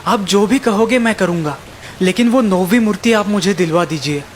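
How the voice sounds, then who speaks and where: A man playing an earnest, kind-hearted young sultan in an animated fairy tale, respectful and questioning. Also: sits high, normal speed, a little hiss or hum, lightly acted. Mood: deferential. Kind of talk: Hindi children's animated fairy tale acting.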